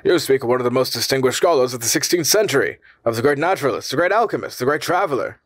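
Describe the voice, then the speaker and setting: A man acts out a courteous, learned male professor in a storybook reading, proud of his country. He speaks formally and earnestly, pitched a little above a middle male voice, in a dry recording. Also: expressive, fast talker, no echo, close to an everyday voice. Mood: admiring, solemn.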